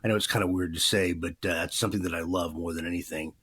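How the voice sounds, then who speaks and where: A man's voice: low-pitched, steady tone, quick pace, fairly bright tone, slightly rough. A man in his fifties, a former radio DJ, chatting in an interview in a rambling way with many fillers. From Pacific Northwest, USA. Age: 50s. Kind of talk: casual interview chat.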